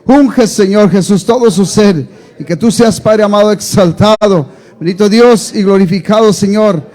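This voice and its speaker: A man's voice: high voice, normal speed, mellow. A man leading a church in fervent, spontaneous prayer with a reverent manner. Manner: reverent, repeatedly invoking God. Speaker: church leader giving a prayer.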